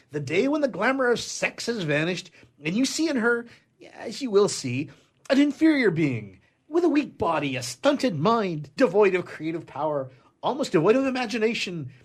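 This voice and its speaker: A man's voice: high voice, slightly rough, heavily acted. An actor playing a jilted, cynical man of the world in a stage comedy, with a sarcastic, declamatory manner. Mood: urgent, scornful. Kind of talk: theatrical stage comedy acting.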